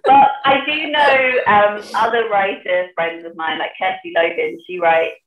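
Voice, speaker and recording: A woman's voice: medium pitch, steady tone, measured pace, fairly bright tone. A woman from northeast England with an English accent, a guest author and poet talking in conversation about her work. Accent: English, with a lost Geordie accent put on for the poem. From Sunderland, northeast England. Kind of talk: conversation and poetry reading. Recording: thin phone-like sound, roomy echo.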